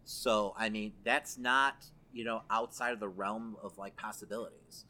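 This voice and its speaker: A man's voice: lowish voice, fairly even intonation, normal speed, sharp tone. A man co-hosting a podcast, chatting casually through entertainment news. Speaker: podcast co-host.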